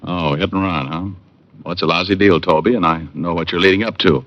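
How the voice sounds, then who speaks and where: A man's voice: low-pitched, fairly quick, natural voice. An American man playing a wisecracking hard-boiled private detective in a vintage radio drama, wry and terse. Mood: businesslike, terse. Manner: wry, terse, deadpan quips. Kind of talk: 1940s-50s radio drama acting and narration. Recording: dull old radio recording, faint music.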